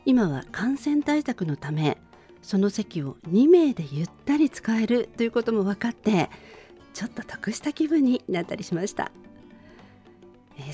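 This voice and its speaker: A woman hosting a gentle bedtime radio chat, speaking politely and conversationally straight to her listeners. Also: medium pitch. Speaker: radio show host and band vocalist.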